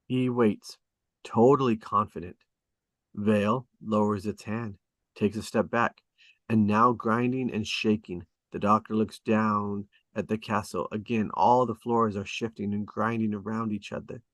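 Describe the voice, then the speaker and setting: A man narrating screenplay stage directions at a table read in a measured, descriptive way, with short dramatic phrases. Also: fairly low pitch, fairly even intonation, a bit bright, no echo.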